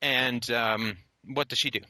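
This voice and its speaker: Male call-in host, blunt and lecturing, bright forceful voice, dry close mic. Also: mid-range voice, fairly even intonation, a little slow, no room sound. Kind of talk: blunt philosophical call-in talk.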